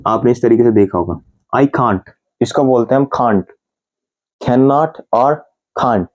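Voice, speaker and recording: A man from India with an Indian accent, teaching English grammar in Hindi and patiently repeating example sentences slowly, word by word. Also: mid-range voice, muffled recording.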